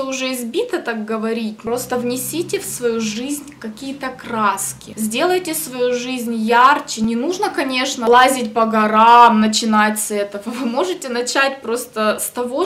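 A young woman with a cold, talking casually to camera in a small indoor room, chatty and animated with preachy bursts. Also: on the high side, normal speed, few pauses. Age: young adult. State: has a cold. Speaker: vlogger. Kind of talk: casual vlog talk to camera.

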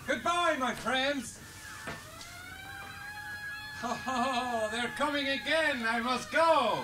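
An actor playing a gallant, idealistic South American adventurer with a Spanish accent and courtly, formal manners in a radio play. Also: male voice, very high voice, background noise, heavily acted. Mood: hurried farewell. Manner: courtly, formal, unhurried phrasing.

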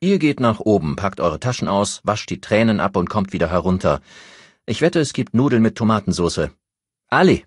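Man playing a curt, husky police inspector. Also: on the low side, normal speed, dry recording, clean studio sound. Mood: firm. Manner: curt, demanding, energetic. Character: harried, impatient police inspector and father.

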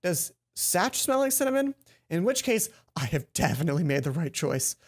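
A young adult man reading a visual novel aloud as a let's player, breaking into dramatic, expressive commentary on a headset mic. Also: a little high, normal speed. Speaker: let's play host. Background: game music.

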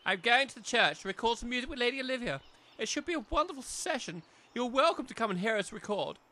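A man playing a pompous, excitable village major with a flowery manner and an upper-class English accent in a comic audio drama. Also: very high-pitched, quick pace, heavily acted. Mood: jovial.

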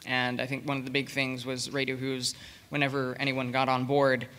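A man speaking unscripted as a panelist, with a hesitant, halting delivery. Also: medium pitch, monotone, smooth. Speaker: panelist, project leader. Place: convention panel room with audience. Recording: reverberant hall, bright sound.

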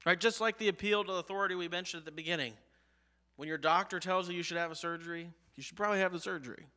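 Earnest American pastor preaching from a pulpit. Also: male voice, fairly even intonation, quick pace. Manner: earnest preaching, rises on key phrases, drops low and soft at line ends. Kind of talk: sermon. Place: church sanctuary, behind a pulpit. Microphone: pulpit mic, medium-wide camera.

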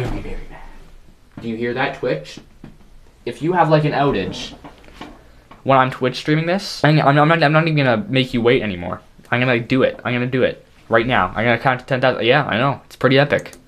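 Excitable teen boy with a strained voice. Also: mid-range voice. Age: teens. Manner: excitable, jokey, talks fast in bursts. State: strained voice from overuse. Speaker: YouTuber. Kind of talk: casual stream chat to camera. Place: small bedroom indoors.

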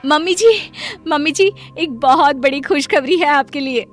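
A boastful, bossy young daughter-in-law from an animated family story , acted by a woman. The voice sits high and orders others about with self-importance, with music behind it. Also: moderate pace, close to an everyday voice. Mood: excited.

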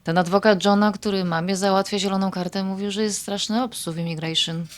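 A woman's voice: medium pitch, steady tone, a little fast, slight background noise, natural voice. A woman playing a blunt, slangy friend in an audio drama, dropping English words into her speech. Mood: resigned, blunt. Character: Agnieszka, blunt female friend, hurt and disbelieving. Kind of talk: Polish audio drama acting.

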